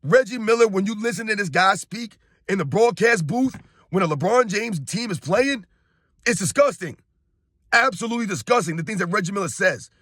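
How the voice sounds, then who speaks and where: A hoarse man in his thirties, a sports YouTuber delivering a casual, emphatic opinion rant straight to his viewers and calling them guys. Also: sits high, expressive, moderate pace, a bit bright, dry recording, studio quality.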